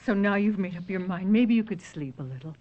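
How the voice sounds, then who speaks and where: An actress playing a long-suffering, tender wife in an old-time radio drama, earnest and emotional. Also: female voice, mid-range voice, lively intonation, a little fast, close to an everyday voice. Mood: soothing worry. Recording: muffled vintage radio drama recording.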